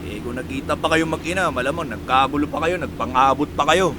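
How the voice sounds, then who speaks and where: A man's voice: a little high, fairly quick, noisy background, natural voice. An actor with a Filipino accent playing a defiant, loyal young man in a radio drama. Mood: casual, hesitant.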